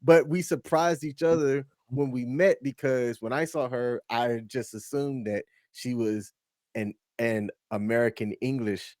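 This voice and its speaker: A man co-hosting a casual reaction chat, animated and prone to loud excited bursts. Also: medium pitch, a little slow, no echo, clean studio sound. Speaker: co-host reacting to clips.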